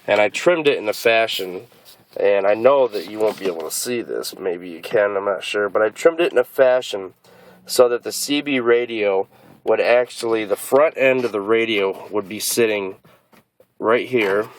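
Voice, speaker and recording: Halting, low-pitched man explaining casually. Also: rough recording. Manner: halting, hesitant, thinking aloud. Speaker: car vlogger. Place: inside a car.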